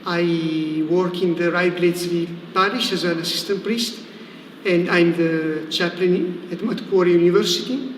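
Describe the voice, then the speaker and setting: A high-voiced Italian man speaks as a missionary priest in a livestream interview. His English has an Italian accent and he pauses to search for words. The room rings with long echo over faint noise. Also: steady tone. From Italy. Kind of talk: conversational religious talk in a livestream interview.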